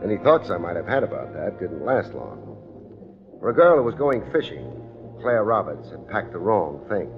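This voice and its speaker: An actor playing a wry, unflappable hard-boiled private detective in a 1950s radio drama, with a deadpan, dryly wisecracking delivery. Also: male voice, low voice, normal speed. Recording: muffled 1950s radio recording with music.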